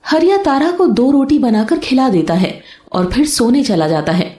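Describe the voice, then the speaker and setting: A woman's voice: on the high side, sing-song, moderate pace, reverberant. A woman narrator reading a scripted story. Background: soft background music.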